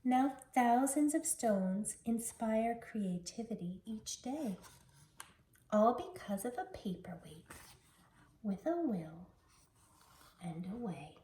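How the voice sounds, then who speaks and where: Female storybook reader: unhurried teacherly delivery, soft-toned, recorded at a distance in a roomy space. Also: on the high side, animated. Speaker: teacher reading a picture book aloud. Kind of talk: slow, expressive children's story read-aloud. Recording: roomy, distant mic with background noise.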